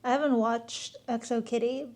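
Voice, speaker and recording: A woman's voice: on the high side, steady tone, moderate pace, darkish tone. Upbeat young woman. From Korea. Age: young adult. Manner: enthusiastic, laughs often, repeats words while thinking. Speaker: student reacting to clips. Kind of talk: casual reaction chat.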